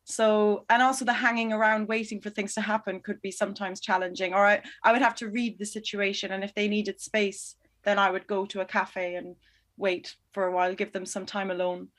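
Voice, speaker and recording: A woman film director talks through her answers in an interview. She is thoughtful and a little hesitant, pausing and restarting as she looks for the words, with a voice of ordinary pitch. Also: flat delivery, fairly bright tone. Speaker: documentary film director, guest.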